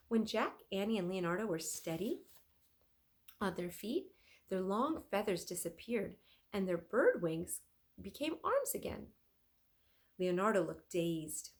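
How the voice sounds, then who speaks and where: A woman reading a children's story aloud as a teacher would, expressive and addressing young listeners. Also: on the low side.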